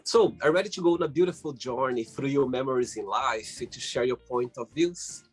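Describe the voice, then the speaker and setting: A man's voice: mid-range voice, brisk, husky, soft background music. A man with a strong Brazilian accent, hosting an interview in an upbeat way with short praise. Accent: Brazilian Portuguese. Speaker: host.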